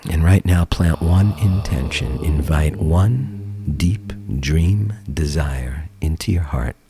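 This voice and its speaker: A man guiding a meditation in a soothing, gentle manner, leaving long pauses, with soft, breathy phrase endings. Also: a bass voice. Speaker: meditation teacher and guide. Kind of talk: guided meditation. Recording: calm music bed behind voice. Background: meditation music.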